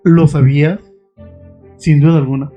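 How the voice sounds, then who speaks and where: A man's voice: fairly high pitch, level tone, moderate pace, lo-fi sound, soft background music. A man reading scripted, dramatic narration in a suspenseful manner, putting questions to the listener.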